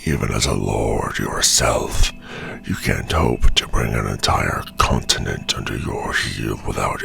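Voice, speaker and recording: A man playing a gruff, defiant werewolf clan veteran in a fantasy audio roleplay, with a growling voice and formal, deliberate speech. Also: pushed and bright, exaggerated voice. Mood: scornful, defiant. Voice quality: very deep, gravelly, growling.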